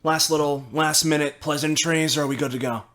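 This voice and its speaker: A man's voice: a little high, steady tone, brisk, bright, forceful tone. A man voicing a tough, guarded woman fighter in a tabletop game, cool and wry.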